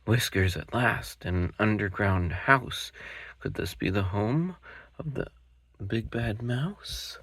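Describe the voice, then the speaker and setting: A man voicing a small, brave monster child in a storybook reading, higher and louder than his narration. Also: moderate pace, breathy edge, dry recording. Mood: excited.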